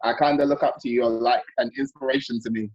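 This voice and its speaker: A man's voice: medium pitch, steady tone, fast, darkish tone. A man speaking earnestly and affectionately to a friend on a video call. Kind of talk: casual heartfelt chat. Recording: dull lo-fi video call audio.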